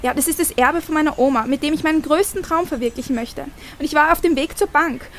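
A woman playing a kind, excitable young dreamer in an amateur audio drama. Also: sits high, fast talker, slight background noise. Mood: relieved, eager.

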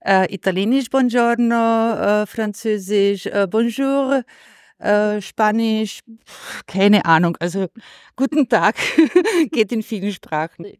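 Slow, playful woman, Slovenian native. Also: mid-range voice, no room sound, clean studio sound. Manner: playful, laughing between answers. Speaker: passer-by interviewee.

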